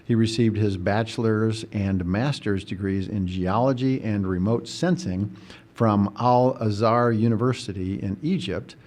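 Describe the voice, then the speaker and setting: Low-voiced man hosting a podcast. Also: a little mellow. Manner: earnest, reads a formal introduction then chats with fillers. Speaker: podcast host, recruitment coordinator. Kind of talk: scripted introduction and interview.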